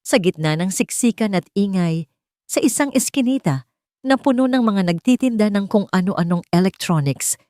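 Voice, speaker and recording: Woman, Filipino accent, storyteller, dramatic scripted narration, animated pitch, very dry recording. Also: no room sound.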